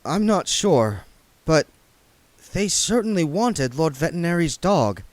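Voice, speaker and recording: A man voicing a mild, earnest young man who speaks in a measured way. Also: fairly high pitch, sing-song, no room sound, voice pushed up.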